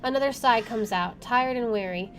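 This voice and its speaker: A woman's voice: a little high, normal speed, a bit bright, a little hiss or hum. A woman playing a visual novel, reading the story aloud and dropping in casual asides. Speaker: game player, channel host.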